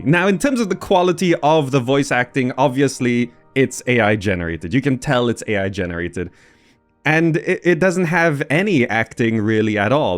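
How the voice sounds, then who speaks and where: A man hosting a gaming video with casual, off-the-cuff commentary, often repeating words as he muses aloud. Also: mid-range voice.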